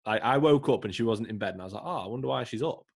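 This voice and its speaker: A man co-hosting a podcast, croaky and ill, chatting casually with lots of fillers. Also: medium pitch, racing. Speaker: podcast co-host. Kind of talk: casual chat.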